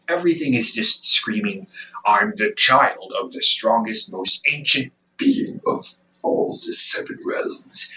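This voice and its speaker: A man acts out an ancient demon older brother for an audio roleplay, slightly distant from the mic. His voice is bright and sharp, swinging widely in pitch as he mocks and condescends. He talks like a smug sibling who is casually menacing. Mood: mocking. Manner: sarcastic, condescending, drawling with chuckles. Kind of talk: audio roleplay acting, conversational monologue.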